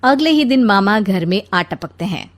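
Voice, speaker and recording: A woman's voice: mid-range voice, expressive, normal speed. A woman narrator reading a scripted comic story.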